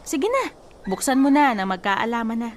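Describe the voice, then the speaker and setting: A woman's voice: fairly high pitch, big pitch swings, normal speed, a little hiss or hum. A woman acting a blunt, exasperated young female cousin who scolds and talks in a sassy, colloquial way in a Tagalog radio drama. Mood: nosy, goading.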